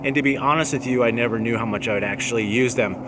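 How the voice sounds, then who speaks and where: A man's voice: medium pitch, fast. Casual man vlogging with noise behind him. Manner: plain-spoken, conversational. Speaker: host of an outdoor gear channel. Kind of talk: casual product talk to camera. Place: outdoors among apartment buildings and trees. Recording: noticeable background noise, outdoor ambience.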